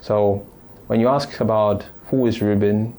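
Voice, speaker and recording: Deep, mellow-voiced man from Italy. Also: steady tone. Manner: thoughtful, halting, many restarts and fillers. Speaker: podcast guest. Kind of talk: casual interview storytelling.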